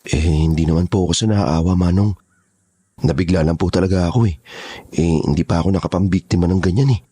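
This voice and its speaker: A nervous young henchman in a horror audio drama, acted by a man. He has a dark, husky voice and answers his boss with hesitant deference. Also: deep voice, fast. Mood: defensive, hesitant. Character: nervous young male criminal, deferential rookie kidnapper.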